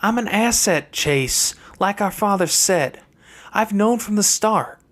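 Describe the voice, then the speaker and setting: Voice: mid-range voice, expressive, slow, pitched above the performer's own voice. A reader voicing a calculating young girl from a wealthy family in an audiobook, airy and pointed with a haughty disdain. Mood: cool, pointed.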